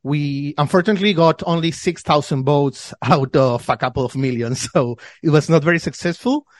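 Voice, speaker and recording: Man, 30s, from Argentina, podcast guest, explanatory, repeats and restarts, slightly high voice, dry sound. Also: normal speed, no echo. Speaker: guest, financial newsletter founder. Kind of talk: podcast interview.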